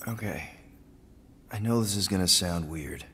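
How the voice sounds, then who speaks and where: An actor playing an awkward, grieving would-be father in a video game drama, speaking in a halting, informal way. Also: low-pitched, fairly bright tone, slightly rough, heavily acted. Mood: uneasy. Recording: long hall-like reverb.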